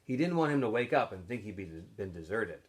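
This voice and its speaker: A man reading a story aloud like a teacher to students, in expressive narration. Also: lowish voice, brisk.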